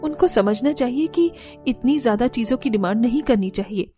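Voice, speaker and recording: A sensible young daughter-in-law in a cartoon family tale, voiced by a woman. Her voice is high and she reasons firmly yet caringly over faint music. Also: moderate pace, warm tone, lightly acted. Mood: firm, reflective. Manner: earnest, reasoning. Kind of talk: Hindi cartoon story dialogue.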